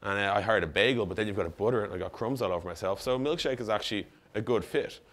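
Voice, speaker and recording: A man's voice: on the low side, very fast, a bit bright. A man in his thirties with a slightly worn voice gives an informal talk on stage, casual and full of asides and quoted dialogue. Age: 30s. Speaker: startup founder giving a conference talk. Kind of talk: informal presentation to an audience. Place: on stage in an indoor event room. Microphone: lapel mic.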